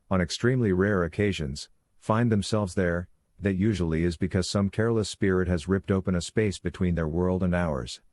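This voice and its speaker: A man voicing a calm, authoritative werewolf pack leader who lectures in a measured, instructive way for a fantasy audiobook. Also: low voice, no room sound, studio quality, pitched below the performer's own voice. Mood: solemn instructive.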